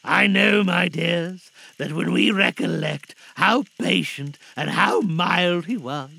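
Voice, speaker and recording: A man's voice: high voice, creaky, bright, forceful tone, heavily acted. A man voicing a cheerful, tender-hearted working-class father fighting back grief, earnest and affectionate, in a dramatised storybook reading. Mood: tender, solemn.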